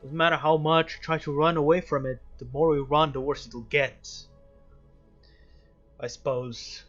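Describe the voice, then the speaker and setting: A man with a slightly high voice talks through a game in a casual, reflective way. He often doubles back on his phrases. The microphone sound is a bit dull, with music softly behind him. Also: steady tone. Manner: reflective, halting and repeating phrases. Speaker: gaming video host. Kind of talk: casual playthrough commentary.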